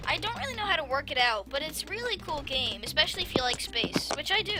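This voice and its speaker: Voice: high-pitched, sing-song, fast. A gameplay commentator chatting casually over a video game, rambling and hesitant with many restarts. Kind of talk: casual let's-play chat. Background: game music.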